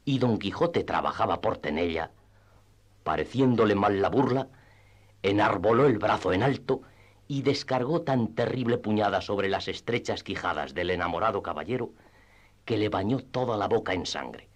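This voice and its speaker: A man with a husky voice narrating a classic tale for radio theatre in a measured, dramatic storytelling manner. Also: fairly low pitch.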